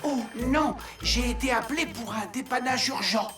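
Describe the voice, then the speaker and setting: High-voiced fretful toy mechanic, played by a woman. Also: normal speed, natural voice. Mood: dismayed. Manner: exclamatory, fretful. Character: anxious toy mechanic with a troubled workshop. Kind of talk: French children's cartoon dub acting. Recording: children's cartoon music underneath.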